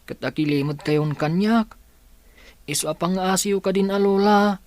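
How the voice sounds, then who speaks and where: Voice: medium pitch, moderate pace, a little mellow, no room sound, lightly acted. A performer playing an earnest, insistent young student and devoted grandchild in a dramatic audio story. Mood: hurt, insistent.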